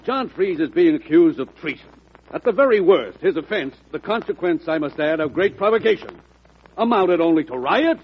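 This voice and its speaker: A man acting an earnest, insistent senator in an old-time radio drama, with a husky voice and formal, oratorical delivery. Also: a little high, expressive, moderate pace. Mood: indignant, urgent. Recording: dull vintage radio recording.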